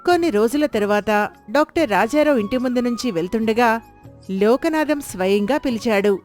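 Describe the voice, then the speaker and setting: A woman's voice: a little high, lively intonation, moderate pace, a little mellow, soft background music. Woman narrating a storybook tale.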